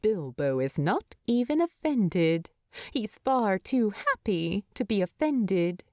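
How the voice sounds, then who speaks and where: Female audiobook narrator: mellow tone, very varied intonation, expressive scripted storytelling with deliberate phrasing. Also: medium pitch, no echo, clean studio sound.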